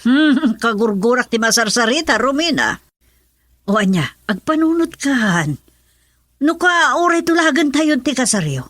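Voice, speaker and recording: Pushy, high-voiced scheming mother, Ilocano accent. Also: very animated, moderate pace, a bit bright, no room sound, studio quality, heavily acted. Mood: nagging, insistent. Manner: nagging, insistent. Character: pushy, scheming mother urging her daughter to marry money. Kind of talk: Ilocano radio drama acting.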